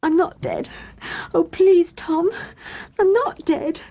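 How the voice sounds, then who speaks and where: A woman's voice: extremely high pitch, takes it slowly, warm tone, pitched above the performer's own voice, heavily acted. A woman voicing an upset young girl in a storybook, crying and pleading. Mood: tearful pleading.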